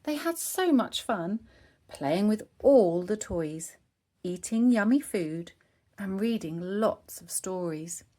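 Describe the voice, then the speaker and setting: Slow, animated woman reading a storybook. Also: medium pitch. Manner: expressive, clearly phrased for young children. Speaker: storybook reader. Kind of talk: children's read-aloud narration.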